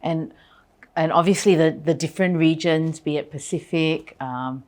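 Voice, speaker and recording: A woman's voice: low-pitched, moderate pace, darkish tone. A woman interviewer asking conversational questions, somewhat hesitant as she searches for her words.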